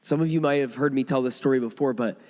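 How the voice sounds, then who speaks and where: Hoarse man preaching in a reverberant hall. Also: mid-range voice, fast, a little mellow. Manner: conversational storytelling with frequent restarts. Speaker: pastor. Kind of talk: sermon. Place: church sanctuary.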